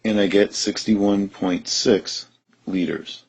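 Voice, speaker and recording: Deep husky man teaching chemistry. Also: takes it slowly, low-quality recording. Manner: steady, methodical, talks through steps. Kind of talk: recorded lesson explanation.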